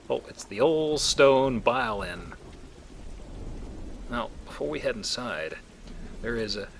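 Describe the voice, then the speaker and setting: A man's voice: medium pitch, fairly bright tone, a little hiss or hum. A man hosting a video game playthrough with casual, joking commentary and a wry manner. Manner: wry, acts out mock quotes. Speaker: video game let's play host.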